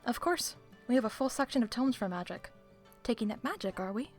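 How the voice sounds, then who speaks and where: A woman's voice: a little high, expressive, fairly quick, soft background music, lightly acted. A woman playing a sly, self-assured librarian with arch, playful, theatrical phrasing in an audio roleplay. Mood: playful curiosity.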